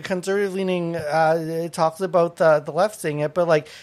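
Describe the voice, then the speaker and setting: A man's voice: a little high, level tone, normal speed, a little mellow. Laughing, blunt podcast host, Thai-born man. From Thailand. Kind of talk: casual chat.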